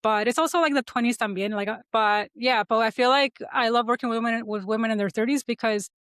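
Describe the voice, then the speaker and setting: A woman's voice: fairly high pitch, fast talker, a bit bright, no room sound, studio quality. A woman of thirty-nine talking casually and reflectively as a life coach guest in an interview.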